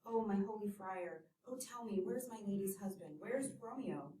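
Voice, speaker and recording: A woman's voice: medium pitch, animated, normal speed, warm tone, close to an everyday voice. A woman voicing a fussy, devoted older nurse who frets and exclaims in a scripted audio drama. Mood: fretful. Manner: fretful, exclaiming. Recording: echoey, distant from the mic.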